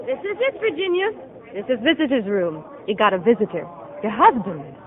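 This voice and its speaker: An actress playing a brisk, coaxing asylum nurse in 1950 radio drama style. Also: female voice, a little high, big pitch swings, a loud crowd, heavy background noise. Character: brisk, bossy-kindly female asylum nurse. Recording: muffled narrow-band 1950 radio broadcast.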